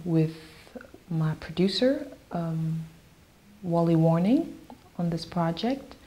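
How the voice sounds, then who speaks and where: Soft-spoken woman with a mellow voice. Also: fairly low pitch. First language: Yoruba. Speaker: singer-songwriter. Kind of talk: interview talk.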